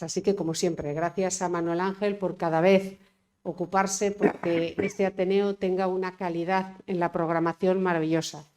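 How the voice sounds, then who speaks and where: Middle-aged woman as mayor, formal thank-you speech, low and even delivery. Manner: steady, thanking people one by one. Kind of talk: formal speech at a tribute event.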